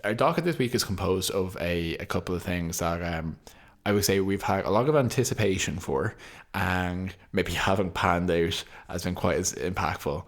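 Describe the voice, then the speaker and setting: A young man co-hosting a podcast in casual chat, dry and teasing, with drawn-out fillers. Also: deep voice. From Ireland. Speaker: podcast co-host.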